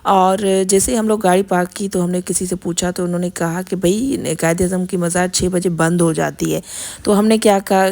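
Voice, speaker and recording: A woman in her thirties from Pakistan with a hoarse, scratchy throat, narrating her vlog in a chatty way full of fillers. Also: on the low side, mellow. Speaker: vlogger. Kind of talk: vlog voice-over.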